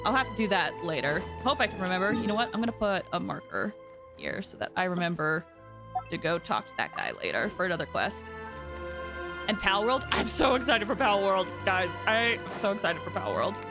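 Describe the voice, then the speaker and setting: A woman's voice: mid-range voice, lively intonation, a little fast, over music. A woman chatting casually and animatedly on a game livestream, rambling as she talks to viewers.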